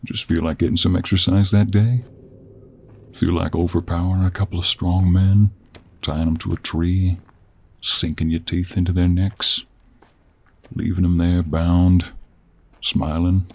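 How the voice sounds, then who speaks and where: A man playing a gruff, teasing cowboy monster hunter with a Western American drawl and a gravelly, husky voice, in an intimate audio roleplay. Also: sits low, level tone, unhurried, pauses often, darkish tone. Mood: probing, wry.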